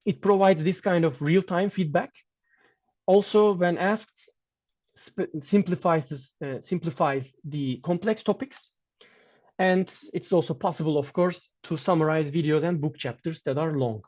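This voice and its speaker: Man, Turkish native speaker, academic lecture, measured and hesitant, muffled audio. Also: fairly high pitch, a little mellow. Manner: measured, with frequent restarts and fillers. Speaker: guest lecturer. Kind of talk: online academic lecture with slides.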